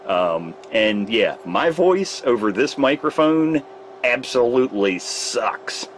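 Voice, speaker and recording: A man's voice: medium pitch, big pitch swings, takes it slowly, slight background noise. A man who repairs radios, casually explaining his test setup step by step in a workshop. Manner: rambling, explains gear step by step. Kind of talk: casual technical demonstration. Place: repair shop workbench with test gear.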